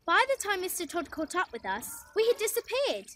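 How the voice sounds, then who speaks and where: A woman's voice: very high-pitched, very animated, brisk. A woman reading a scripted children's story expressively, addressing young listeners, with music behind her. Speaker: storybook narrator. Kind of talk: animated children's scripted narration.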